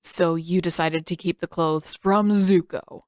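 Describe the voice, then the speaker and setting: A woman's voice: lowish voice, fairly even intonation, a little slow, darkish tone, no room sound, clean studio sound, lightly acted. A woman voicing a sarcastic, complaining teenage boy who grumbles through a story reading. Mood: needling.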